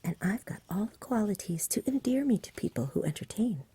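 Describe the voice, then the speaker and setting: A woman voicing a genial, bored, old-fashioned male dragon from a storybook, in soft breathy whispers with chatty courtesy. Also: medium pitch, sing-song, fairly quick, slight background noise, pitched above the performer's own voice. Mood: chatty, courteous.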